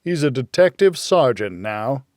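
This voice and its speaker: A man's voice: medium pitch, very animated, measured pace, darkish tone, dry recording, studio quality. A man voicing a blunt, needling, worried older friend in a noir audiobook. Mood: proud.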